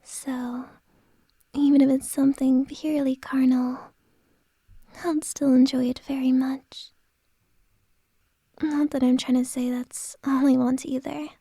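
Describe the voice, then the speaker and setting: Woman as a shy, whispering female boss for ASMR, high and mellow, slow hesitant delivery. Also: little pitch movement, slightly rough, no room sound, studio quality, exaggerated voice. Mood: tender, flustered. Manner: soft whispering, hesitant and halting. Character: flustered woman boss confessing to an employee. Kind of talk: ASMR roleplay.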